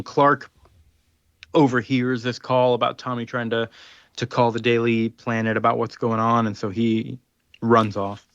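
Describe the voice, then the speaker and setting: A man's voice: mid-range voice, fairly bright tone, no echo, muffled recording. A man casually retelling a comic's plot on a podcast, rambling with lots of fillers. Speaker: podcast host recapping a comic. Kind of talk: casual chat.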